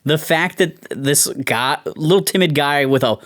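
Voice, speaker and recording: A man's voice: a little high, a little slow, a bit bright, no echo, clean studio sound. A man hosting a casual podcast chat, talking in an easygoing way and thinking aloud as he goes. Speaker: podcast host. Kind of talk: casual chat about toys and cartoons.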